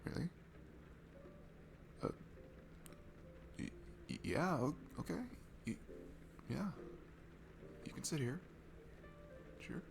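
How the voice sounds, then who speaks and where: A man playing a shy, nervous, sweet young guy at a bar in an intimate ASMR roleplay, stammering and hesitant, with a soft gravelly voice that drops into hushed asides. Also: mid-range voice, big pitch swings, slow pace, pauses often, background noise, full character voice. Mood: nervous, self-deprecating. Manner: hesitant, stammering, self-deprecating, breaking into hushed breathy asides. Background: music.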